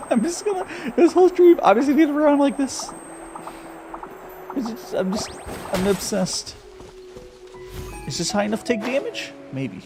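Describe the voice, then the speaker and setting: A man's voice: very high voice, lively intonation, moderate pace, pauses often. A man chatting casually and giggling while live-streaming a video game. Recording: faint game music behind.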